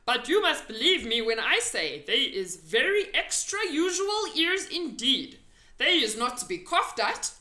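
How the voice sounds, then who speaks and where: A woman voicing a big, gentle, dreamy male giant from a storybook, proud and earnest, with quaint muddled grammar and an emphatic delivery. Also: high voice, very animated, measured pace, roomy sound, pitched above the performer's own voice, exaggerated voice. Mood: proud, boastful. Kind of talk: storybook character reading.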